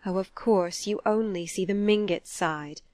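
A woman's voice: mid-range voice, unhurried, darkish tone, no room sound, studio quality, lightly acted. Woman acting a prim, disapproving society matron. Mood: offended. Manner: indignant, genteel, insistent. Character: proper, disapproving older society mother.

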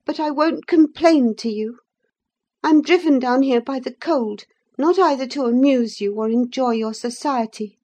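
A haughty young lady, acted out by a woman narrator in a classic storybook reading. Her voice is very high and cutting, full of imperious disdain and a temper that is quick to rise. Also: expressive, warm tone. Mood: cold contempt. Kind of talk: dramatised audiobook reading of a classic novel.

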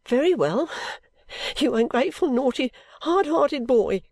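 A woman voicing a sulky, overworked young woman who complains abruptly and breaks into pitched outbursts. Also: very animated, moderate pace, a little mellow, no echo. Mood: aggrieved.

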